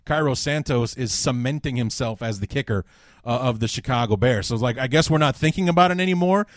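A man with a baritone voice hosting a casual sports podcast, talking in loose rambling runs with restarts. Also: fast, dry recording, studio quality. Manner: rambling, restarts, laughs often. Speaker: podcast host.

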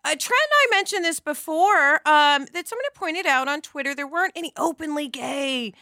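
A woman's voice: high-pitched, expressive, fairly quick, bright, forceful tone, no echo, clean studio sound. A middle-aged woman co-hosting a podcast in casual chat, talkative and given to asides. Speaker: podcast co-host.

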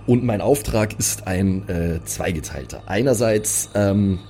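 A man's voice: deep voice, unhurried, dark tone. A man playing a cautious, deferential envoy of a mages' academy in a tabletop audio game, hesitant and formally courteous. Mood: careful, formal. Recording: faint eerie music under the voice.

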